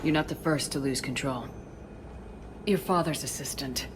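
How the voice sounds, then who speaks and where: A woman's voice: extremely low pitch, normal speed, background noise. An actress playing a steely but compassionate female space commander in a video game, with a terse, authoritative manner. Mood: grave, gentle. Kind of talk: cinematic video game dialogue.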